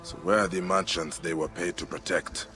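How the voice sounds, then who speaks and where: A man acting as a stern game hero tracking a thief, his voice fairly low. He speaks in terse, deliberate phrases, musing like a seasoned investigator, with clear music playing behind him. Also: steady tone, close to an everyday voice. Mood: brooding, analytical. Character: stern, determined male investigator hunting a relic thief. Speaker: game protagonist. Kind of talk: dramatic video game acting. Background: cinematic game music.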